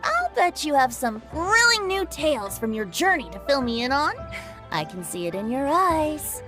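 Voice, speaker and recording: A woman's voice: high-pitched, sing-song, moderate pace, music in the background. A woman voicing a cheerful, whimsical young male bard in a video game, breezy and flowery in delivery. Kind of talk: video game character dialogue.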